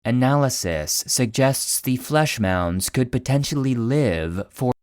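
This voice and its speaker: Guy narrating a creepy podcast file, slow and clinical, mid pitch, really dry close sound. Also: lively intonation, no echo. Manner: measured, clinical, serious. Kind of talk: scripted narration of fictional clinical case file.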